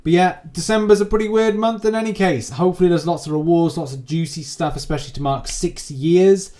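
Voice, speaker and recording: A man's voice: high voice, a little fast, dark tone. Guy doing off the cuff gaming news, kinda rambly, repeats himself, super informal. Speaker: gaming channel host. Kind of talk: casual unscripted gaming news commentary.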